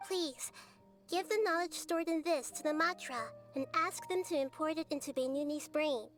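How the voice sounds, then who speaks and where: A very high, light girlish voice belongs to a small goddess, gentle and wise, with soft, clear, measured words. Faint game music runs behind her. The delivery is calm and composed throughout. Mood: serious.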